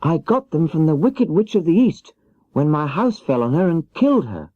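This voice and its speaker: A man playing a meek little girl from a storybook, pitched far up into a high voice. She is earnest and small, on a slightly dull, dry old cassette recording. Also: big pitch swings, moderate pace, no echo. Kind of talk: children's audiobook character voice. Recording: dull 1970s cassette audiobook.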